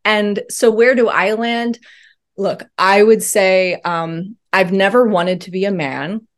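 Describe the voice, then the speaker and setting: A woman talking as an interview guest in a thoughtful, earnest way with many pauses and ums. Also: medium pitch, steady tone. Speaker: podcast guest. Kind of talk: reflective interview talk.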